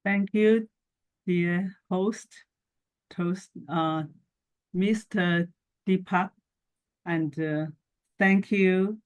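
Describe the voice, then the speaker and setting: A middle-aged woman with a Chinese accent talks as an interview guest. Her delivery is slow and halting, broken into short phrases with pauses between them. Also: fairly low pitch, darkish tone, dull audio. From China. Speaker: guest, scientist and entrepreneur. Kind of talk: talk show interview, telling personal background.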